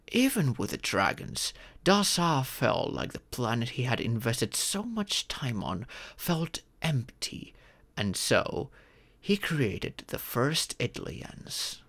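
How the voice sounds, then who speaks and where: A man voicing a wise, fatherly male dragon who patiently teaches in a measured, formal storytelling manner for a roleplay audio. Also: medium pitch, sing-song, fairly bright tone, no room sound, full character voice. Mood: patient, solemn.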